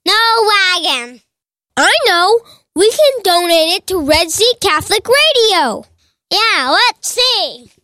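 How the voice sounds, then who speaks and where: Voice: extremely high pitch, animated, slow, bright, forceful tone, dry recording, clean studio sound, heavily acted. A performer voicing a confused, easygoing biblical Israelite wanderer in a comedy radio sketch, drawling and puzzled. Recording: music bed behind voice.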